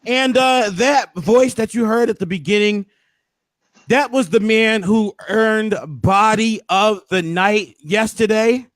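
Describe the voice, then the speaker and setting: Hoarse, high-voiced man hyping a recap. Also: slow, a bit bright, no echo. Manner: hype, preacher-like flourishes. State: hoarse, losing his voice. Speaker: host. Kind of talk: casual commentary recap.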